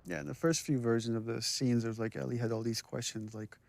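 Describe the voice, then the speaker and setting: A man's voice: mid-range voice, fast, creaky. A man giving informal director's commentary on a game, explaining in a reflective way. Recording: faint background noise.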